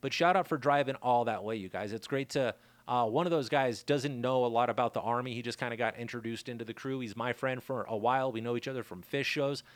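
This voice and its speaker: A laid-back man talks straight into a close studio mic, his throat worn raspy. He rambles casually through stories and shout-outs. The room is dead dry, with no echo. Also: medium pitch, quick pace. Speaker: podcast host.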